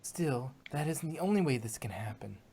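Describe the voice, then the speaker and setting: A woman voicing a studious, earnest female unicorn pony with a husky voice in a fan-made audio drama. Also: rumbling low, lively intonation, normal speed, mellow, exaggerated voice. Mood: earnest, uneasy.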